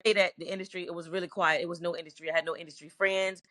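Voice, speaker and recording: A middle-aged woman from Virginia talks through her career in an earnest, reflective way, often circling back to restart a sentence. Her voice is bright and forward. The sound is dry and close. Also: on the low side, fairly even intonation, racing, no echo. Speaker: singer, interview guest. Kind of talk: candid interview answers.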